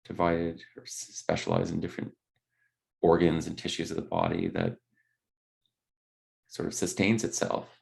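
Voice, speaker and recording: Low, gravelly man guiding meditation. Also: sing-song, normal speed, pauses often. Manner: gentle, unscripted, hesitant phrasing. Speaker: meditation guide. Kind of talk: guided body scan meditation.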